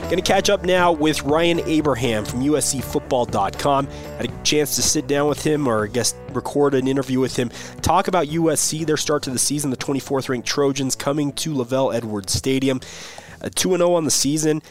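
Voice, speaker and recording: A man's voice: mid-range voice, a little fast, faint music behind. Man hosting a sports podcast. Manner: upbeat, conversational, addresses listeners as guys. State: under the weather, voice not full. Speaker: podcast host. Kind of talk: sports podcast talk and ad read.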